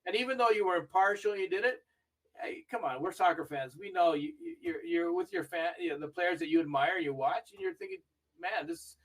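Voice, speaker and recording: An older man with a fairly high voice talks in a chatty, storytelling way as a podcast guest. He grew up in Argentina and perks up when recalling famous matches. He sits a little back from the microphone, so he sounds slightly distant. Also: sing-song, brisk, vocal fry, a bit bright. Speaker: interview guest, video review manager. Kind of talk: casual podcast interview.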